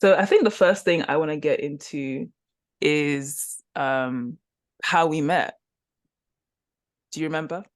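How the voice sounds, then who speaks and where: A young woman hosting a podcast, chatting casually and warmly with her guest, with plenty of likes and ums. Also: low voice, a little slow, long pauses, no room sound, clean studio sound. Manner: warm, chatty, uses like and um. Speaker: podcast host. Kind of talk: casual interview chat.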